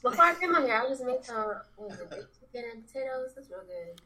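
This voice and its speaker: Woman guest, brightish higher voice, kinda hesitant, short replies. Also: big pitch swings, fast, poor audio. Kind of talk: casual radio chat.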